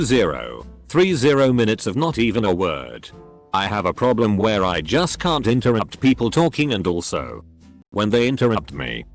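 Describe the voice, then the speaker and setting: A synthetic male narrator reading online posts aloud, with stiff delivery that speaks punctuation marks as words. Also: fairly low pitch.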